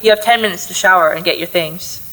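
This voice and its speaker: A woman's voice: on the low side, quick pace, noisy background, natural voice. A woman playing a loving, worried mother of a teenager in a contemporary audio play, speaking earnestly and tenderly. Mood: gently firm.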